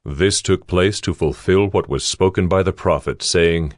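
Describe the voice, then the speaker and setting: A man's voice: deep voice, unhurried, dry recording, studio quality. A man reading scripted narration for a dramatized audiobook.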